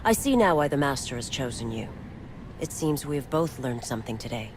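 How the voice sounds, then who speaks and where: Proud, menacing, animated assassin. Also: female voice, very deep voice, slight background noise, poor audio. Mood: cool respect. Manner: formal, measured, imperious. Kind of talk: dramatic video game acting.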